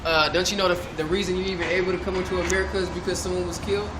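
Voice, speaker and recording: A man on the street challenging a passerby with quick, slangy questions. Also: high voice, little pitch movement, reverberant, heavy background noise.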